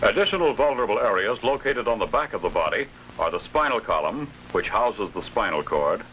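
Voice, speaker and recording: Bright-voiced male American training film narrator. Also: lowish voice, animated. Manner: authoritative, deliberate enunciation. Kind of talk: formal scripted instructional film narration. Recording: noisy old training film soundtrack.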